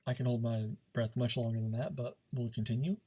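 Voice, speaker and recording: Male speech teacher, soft-edged dark tone with some rasp, informal coaching delivery for a classroom lesson. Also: mid-range voice, fairly even intonation, brisk. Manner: informal, coaching, checks understanding with frequent "okay" and "right".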